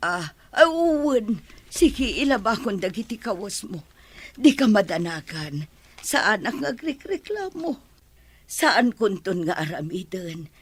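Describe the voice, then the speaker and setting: A woman's voice: medium pitch, very animated, slow, breathy edge, exaggerated voice. A woman with an Ilocano accent playing a weary, kind household maid who speaks meekly and pleadingly. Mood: hurt. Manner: meek, pleading. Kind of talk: Ilocano audio drama.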